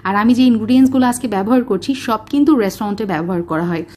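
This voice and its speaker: A woman's voice: medium pitch, expressive, rapid-fire, a little mellow. A woman with a Bangladeshi Bengali accent, narrating a home cooking recipe step by step in a chatty way, giving each measurement. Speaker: cooking channel host.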